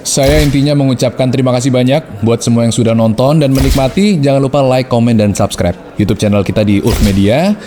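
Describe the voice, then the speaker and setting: A man's voice: mid-range voice, fairly quick, hardly any pauses, crowd noise. A man giving a channel sign-off to viewers.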